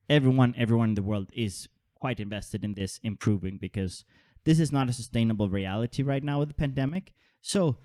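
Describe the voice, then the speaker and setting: A man hosting a podcast in animated, casual chat, often stumbling over repeated words. His voice sits at an ordinary middle pitch. The sound is close and dry, with no room around it. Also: no room sound. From Denmark and Holland. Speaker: podcast host.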